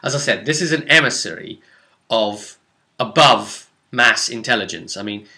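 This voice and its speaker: A man's voice: mid-range voice, lively intonation, normal speed, pushed and bright. A man recounting a tabletop fantasy game as game master, telling it like a story with asides to the listener. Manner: storytelling with rhetorical asides to the audience.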